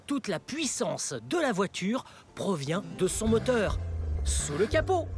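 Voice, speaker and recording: Excitable children's show host, hugely animated intonation, very unhurried pace, playful, exclamatory, simple teaching. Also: high-pitched, exaggerated voice. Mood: excited. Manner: exaggerated wonder, simple explanations, frequent giggles and gasps. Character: excitable, wide-eyed, cheerful children's show presenter, playful adult. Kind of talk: exclamatory educational presenting for young children. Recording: music behind the voice.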